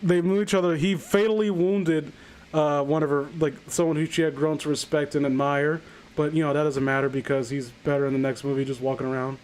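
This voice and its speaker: A man's voice: fairly high pitch, flat delivery, brisk, darkish tone, poor audio. A man hosting a live stream, chatting casually and bluntly about films, rambling and swearing as he gives opinions.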